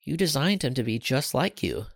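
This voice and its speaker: A gentle mother comforting her son, as a man puts her on. She speaks softly and a little higher than the reader's own voice, with a dry, close sound. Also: big pitch swings, normal speed, creaky, dry recording. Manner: soft, comforting. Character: loving calm mother.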